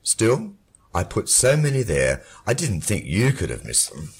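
An actor playing a cynical dead husband who mocks his widow in a warm, controlled, lilting voice. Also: lowish voice, huge pitch swings, measured pace, breathy edge, full character voice. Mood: mocking. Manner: sardonic, taunting. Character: mocking dead husband, middle-aged man, cynical.

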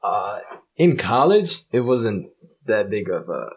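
A man in his twenties, a professional soccer player, chatting casually as a guest, relaxed and swearing freely. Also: fairly low pitch, slow, breathy edge. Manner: relaxed, swearing, animated when retelling. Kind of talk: casual chat.

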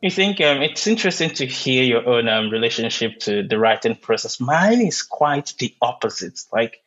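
A man from Nigeria, a poet whose first language is Igbo, speaking in an interview, pausing often with many "um"s as he searches for words. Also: medium pitch, lively intonation, fairly bright tone, lo-fi sound. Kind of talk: reflective interview conversation.

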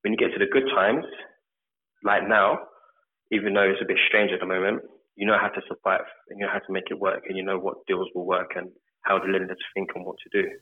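A man's voice: deep voice, fast. London man on a tinny call line. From England. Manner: relaxed, talks in long run-on chains with fillers. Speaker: mortgage advisor, guest. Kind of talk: casual interview answers.

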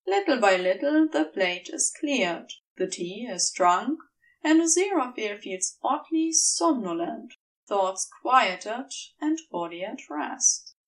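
A woman reading scripted fiction as a narrator, in a gentle, measured storytelling manner. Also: mid-range voice, expressive, far from the mic, low-quality recording. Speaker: podfic narrator.

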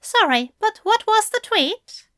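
A woman plays a prim, smug gentleman author in an audio story. The voice is pitched high and swoops emphatically through each haughty retort. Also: sing-song, measured pace, dry recording, studio quality, full character voice.

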